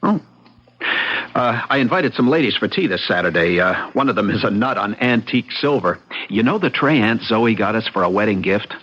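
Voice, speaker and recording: A man's voice: fairly low pitch, lively intonation, moderate pace, fairly bright tone, husky. An actor playing a mild, hesitant political husband who questions his wife in a radio drama. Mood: mildly insistent. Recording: muffled, lo-fi radio drama sound.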